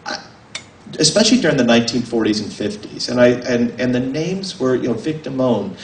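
A man in his forties, an author, giving a casual, halting talk with many fillers and restarts to a small audience in a library. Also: medium pitch, lively intonation, a little hiss or hum. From United States. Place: library room, indoors. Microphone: table mic on a stand, filmed from a distance. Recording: roomy, mic at a distance.

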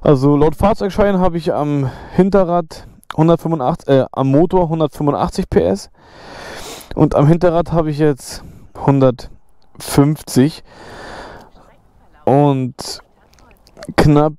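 A man's voice: on the high side, unhurried, pauses often, clean tone, no echo, studio quality. A young man vlogging from his motorcycle in the rain, chatting casually with lots of slang, swearing and laughter. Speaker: motovlogger. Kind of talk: casual vlog chat while riding. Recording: loud road and engine noise.

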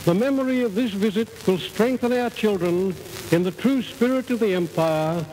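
A man delivering a solemn, deliberate ceremonial speech at an outdoor public event in an oratorical manner. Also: high voice, darkish tone, rough recording. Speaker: education official giving an address. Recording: hissy 1950s 78rpm record.

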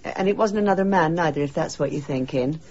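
A woman's voice: deep voice, fast, darkish tone, muffled recording. An actress playing a saucy, gossipy village woman in a radio drama, with a teasing, knowing, colloquial way of talking. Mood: confiding.

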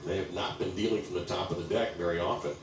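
A man's voice: low-pitched, steady tone, fast, echoey room, distant mic, noisy background. A middle-aged man calling a youth bowling match as play-by-play commentator, wry and conversational. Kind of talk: sports broadcast commentary.

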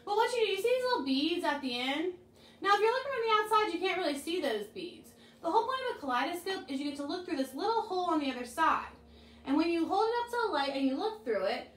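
A young woman leading a children's chapel lesson from her living room couch, talking brightly and animatedly to the kids. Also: sits high. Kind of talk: children's devotional and craft lesson. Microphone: camera a few feet away. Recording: echoey room, mic at a distance.

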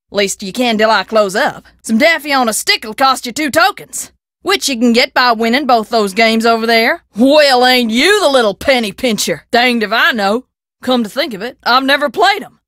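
A woman's voice: sits high, lively intonation, moderate pace, fairly bright tone, dry recording, clean studio sound. A woman voicing a sassy, bossy frontier shopkeeper with a drawling American country twang in a video game. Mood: folksy, chatty. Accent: rustic American country twang. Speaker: keeper of an old-time museum and taffy shop. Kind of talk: video game character dialogue.

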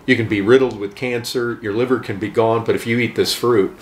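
A man's voice: on the low side, fairly even intonation, a little fast, echoey room, a little hiss or hum. A middle-aged American man, a pastor, talking earnestly in an interview in a living room. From United States. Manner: earnest, emphatic, gestures with open hands. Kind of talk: documentary interview.

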